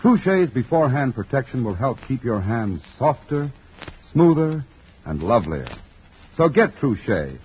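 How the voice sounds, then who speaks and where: A man's voice: medium pitch, sing-song, a little slow, slightly rough. A man announcing on 1940s radio, reading scripts in an emphatic, formal, salesmanlike way. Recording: dull, scratchy 1940s radio recording.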